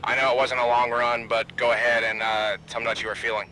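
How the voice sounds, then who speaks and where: Crew chief , male actor: very sharp, flat-pitched, businesslike race radio with faint car noise. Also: fast talker, rough recording. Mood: steady, businesslike. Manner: clipped, procedural instructions. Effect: team radio filter. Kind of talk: simulated race team radio.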